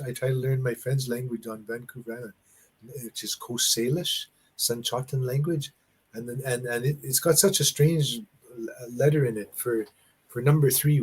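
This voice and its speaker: Husky Canadian man chatting. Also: medium pitch. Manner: rambling, restarts and laughs, animated storytelling. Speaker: conversation partner. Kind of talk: casual conversation practice. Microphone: video call.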